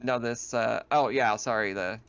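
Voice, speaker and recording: A man's voice: mid-range voice, moderate pace, gravelly, a bit bright, smooth, no room sound. A man casually narrating and explaining a tabletop game as game master.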